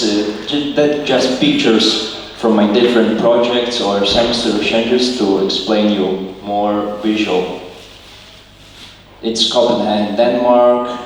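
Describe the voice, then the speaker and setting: Young man presenting in an echoey hall. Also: lowish voice. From Ukraine. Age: young adult. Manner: non-native English, plain and earnest, explaining slide by slide. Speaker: student presenter. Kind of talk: slide presentation talk. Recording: echoey hall, mic far off, faint noise.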